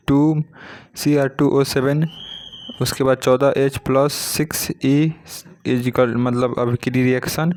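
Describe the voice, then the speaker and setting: A man's voice: medium pitch, darkish tone. Man teaching exam questions methodically. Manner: methodical, reads each question then states the option. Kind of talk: reading practice questions and giving answers.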